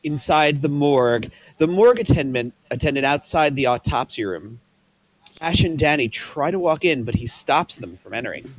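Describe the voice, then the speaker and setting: A man's voice: medium pitch, lively intonation, moderate pace, dry recording, low-quality recording. A man reading stage directions at a table read, plain and matter-of-fact. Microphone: online voice call.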